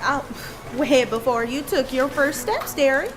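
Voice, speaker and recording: A woman's voice: high voice, animated, unhurried, background noise. A woman playing a bubbly, chatty receptionist in an audio drama, rambling along.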